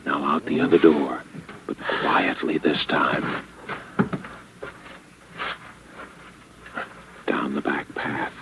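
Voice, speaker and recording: A man's voice: extremely low pitch, background noise, exaggerated voice. An actor playing a desperate, cold murderer scheming his perfect crime in a brooding, theatrical old radio drama monologue. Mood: furtive, strained. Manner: theatrical, brooding, halting self-talk. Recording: band-limited old radio recording with music behind.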